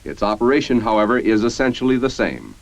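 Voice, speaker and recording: An American man reading scripted 1950s military training-film narration in a formal, measured, instructional manner. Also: mid-range voice, big pitch swings, dry recording. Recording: dull, band-limited 1950s film soundtrack.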